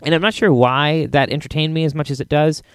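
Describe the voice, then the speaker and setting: An American man hosting a podcast, chatting casually to listeners in an enthusiastic, rambling, halting way, close to the microphone. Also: a little high, steady tone, smooth, no room sound. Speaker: podcast host.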